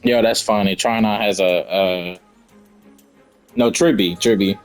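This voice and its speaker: A man's voice: fairly low pitch, steady tone, a little slow, soft background music. A man giving casual, slangy reaction commentary over a video game. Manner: slangy, informal, reacting aloud. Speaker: gaming video host.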